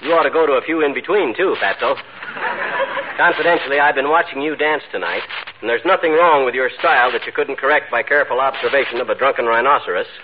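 A man's voice: mid-range voice, fast talker, close to an everyday voice. An American man playing a brash, boastful, wisecracking husband in a 1940s radio comedy, with folksy, glib patter. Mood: mocking. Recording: muffled, very noisy old radio recording.

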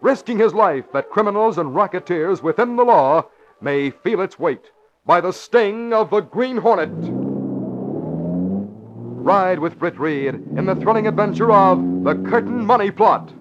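A man announcing and narrating an old-time radio show, emphatic and declamatory. His voice is fairly high and swings widely. The recording sounds muffled and lo-fi. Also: sing-song, a little slow, noisy background.

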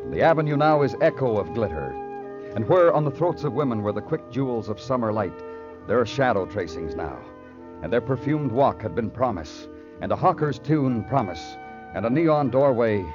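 An actor playing a brooding, poetic hard-boiled police detective in a measured, solemn radio crime drama style. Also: male voice, medium pitch, very animated, natural voice. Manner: measured, solemn, literary delivery. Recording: dull old radio recording with music.

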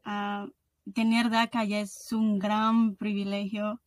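A woman's voice: mid-range voice, flat delivery, unhurried, no echo. Spanglish-speaking woman in her thirties, dry sound. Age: 30s. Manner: switches between Spanish and English mid-sentence. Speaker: podcast host. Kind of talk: casual conversation.

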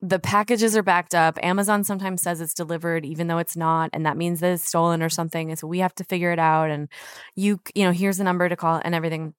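A woman's voice: lowish voice, fairly even intonation, fast talker, clear, resonant tone, no room sound. A woman in casual conversation, telling anecdotes in slangy language and quoting both sides of exchanges. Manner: slangy, retells exchanges in quoted dialogue. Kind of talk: casual chat, animated anecdotes.

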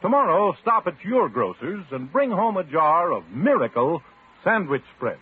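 An American man reading a 1950s radio advertisement as an emphatic, savoring sales pitch. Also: very animated, moderate pace, noisy background. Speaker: commercial announcer. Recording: thin, muffled old radio broadcast.

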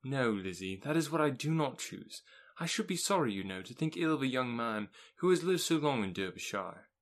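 Man reading as a calm middle-aged aunt in a storybook, measured and gently chiding, mid-pitched. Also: lightly acted. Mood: gently reproving.

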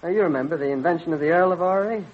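An actor playing an earnest, absorbed colonial astronomer husband in an old-time radio drama, explaining eagerly with mild hesitations. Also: male voice, fairly high pitch, rapid-fire, slight background noise, lightly acted. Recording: dull vintage radio recording.

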